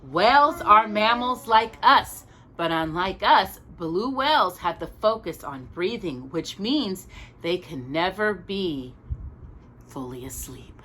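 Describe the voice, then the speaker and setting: A woman's voice: mid-range voice, animated, takes it slowly, bright, forceful tone, slight background noise. A woman reading a children's picture book aloud as a storytime reader, expressively and with small asides to a young listener.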